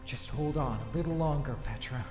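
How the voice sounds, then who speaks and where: Determined young fantasy hero, acted by a man, raised pitch, formal and dramatic, music behind. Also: fairly quick, close to an everyday voice. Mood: anguished resolve. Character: earnest, determined young man searching for his stolen love. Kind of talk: fantasy audio drama acting.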